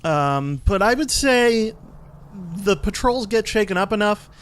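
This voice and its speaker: A man giving casual, explanatory commentary while playing a video game, a bit halting as he goes. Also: sits high, expressive, fairly bright tone, smooth. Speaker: let's play host and player.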